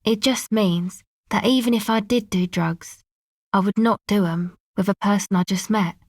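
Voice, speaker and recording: A woman's voice: mid-range voice, normal speed, darkish tone, no room sound, studio quality, natural voice. A woman voicing a laid-back, streetwise young woman in a video game, with a casual, slangy, offhand delivery that is blunt but sympathetic. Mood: guarded, casual. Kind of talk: naturalistic video game dialogue.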